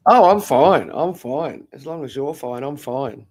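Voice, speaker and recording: A man's voice: mid-range voice, lively intonation, measured pace, crowd noise. A man chatting casually and reassuringly on a late-night stream, swearing now and then.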